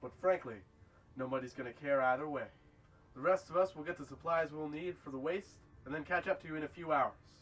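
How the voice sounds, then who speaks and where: An actor playing a gruff, ruthless outlaw gang leader with a commanding, folksy way of talking in a sci-fi western drama. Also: male voice, fairly high pitch, fairly quick, faint music behind, close to an everyday voice, rough recording. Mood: cold, commanding.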